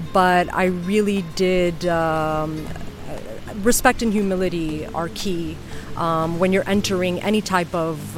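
Low-voiced woman with music behind. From United States. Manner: thoughtful, many ums and uhs. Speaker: flamenco dancer, guest. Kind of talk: casual interview answers. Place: outdoors on a beach.

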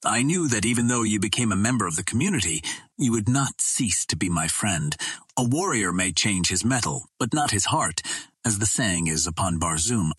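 A man's voice: on the low side, lively intonation, moderate pace, a bit bright, slightly rough, dry recording. A man voicing a proud, noble Martian princess in formal, stately words for an audiobook. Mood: proud, composed.

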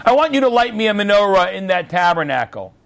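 A man voicing God, who gently asks His people for a favor. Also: high-pitched, sing-song, quick pace, vocal fry, full character voice. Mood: asking.

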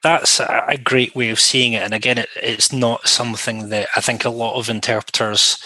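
Bright-voiced man hosting, dry sound. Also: medium pitch, flat delivery, moderate pace, no room sound, low-quality recording. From United Kingdom. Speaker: host, interviewer. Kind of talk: conversational interview.

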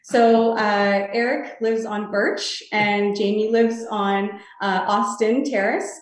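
A woman's voice: mid-range voice, level tone. Woman, 30s, earnest rambling podcast guest, far from the mic in a very echoey room. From Canada (Toronto). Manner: earnest, halting, rambling answers. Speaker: real estate sales guest. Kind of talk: podcast interview answers.

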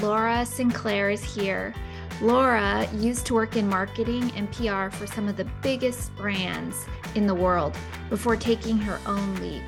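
A woman hosts a podcast in a mid-pitched voice with noticeable vocal fry. Her delivery is polished and presentational, suited to introducing and interviewing, and faint music sits in the recording. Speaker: podcast host.

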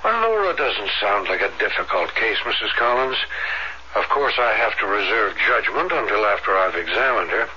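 A composed, probing psychiatrist, speaks with measured authority in a lowish, intensely bright voice. It is a dull vintage radio drama recording. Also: male voice, sing-song, slightly rough, heavily acted. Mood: assured. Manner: measured, professional, commanding. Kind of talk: old-fashioned radio drama acting.

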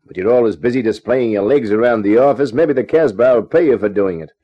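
An actor playing a wry, wisecracking private detective who narrates a 1950s radio drama. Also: male voice, medium pitch, quick pace, lightly acted. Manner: dry, wisecracking, laconic. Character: wry hard-boiled male private eye. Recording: dull 1950s radio recording.

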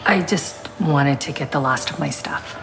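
A woman's voice: low-pitched, unhurried, gravelly, music in the background, poor audio. A woman playing a hurt, exasperated young ex-girlfriend in a naturalistic audio drama, blunt and wary. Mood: guarded, irritated.

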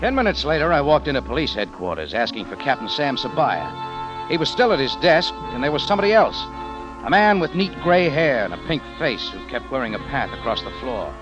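A dull vintage radio recording with music behind it carries a hard-boiled hero played by a man. He is terse and world-weary in the 1940s noir manner, with a medium voice that moves about in lively inflection. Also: mid-range voice, brisk, close to an everyday voice. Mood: brooding narration. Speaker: protagonist and narrator.

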